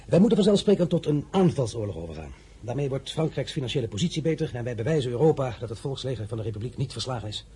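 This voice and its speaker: An actor playing a young, ambitious and self-assured general in a radio play, assertive and blunt. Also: male voice, mid-range voice, big pitch swings, racing, warm tone, breathy edge. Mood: bold, assertive. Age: young adult.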